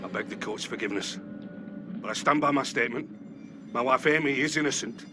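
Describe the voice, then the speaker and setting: A man's voice: medium pitch, fairly quick, natural voice. Man as a steadfast Puritan husband, heavy music behind. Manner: formal, archaic, steadfast. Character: loyal, protective Puritan husband defending his wife. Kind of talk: dramatic video game cutscene acting.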